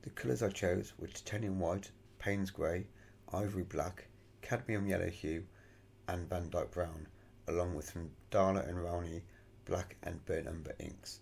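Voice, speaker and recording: A man's voice: low voice, steady tone, measured pace, vocal fry, darkish tone, breathy edge. A man narrating a voice-over for his scale model painting tutorial in a plain, matter-of-fact way, thinking aloud as he goes.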